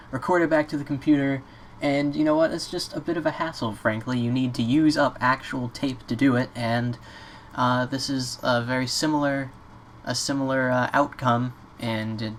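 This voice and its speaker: Informal man, noisy computer tutorial recording. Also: medium pitch. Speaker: tutorial presenter. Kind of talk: casual screen-recorded software tutorial. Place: indoors at a computer. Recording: clear background noise, keyboard clicks.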